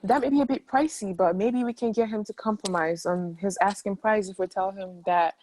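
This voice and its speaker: A woman's voice: medium pitch, a little fast, a little mellow, lightly acted, rough recording. A woman playing an upbeat, pushy film producer in a table read. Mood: eager.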